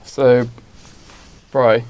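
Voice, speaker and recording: A man's voice: mid-range voice, level tone, darkish tone, smooth. A man co-hosting a podcast, making up a story in casual, hesitant chat. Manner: hesitant, halting. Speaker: podcast co-host.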